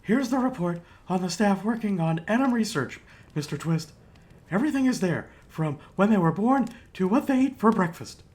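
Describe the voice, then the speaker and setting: An anxious henchman acted by a man from a pulp crime drama. The delivery swings widely in pitch, like an underling answering to a boss. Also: lively intonation, normal speed, a little mellow. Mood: eager. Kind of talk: pulp audio drama acting.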